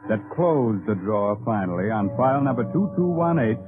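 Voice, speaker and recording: A man's voice: on the low side, lively intonation, normal speed, phone-quality sound. A man delivering dramatic, scripted narration in the style of 1950s radio drama. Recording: thin old radio broadcast. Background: loud music.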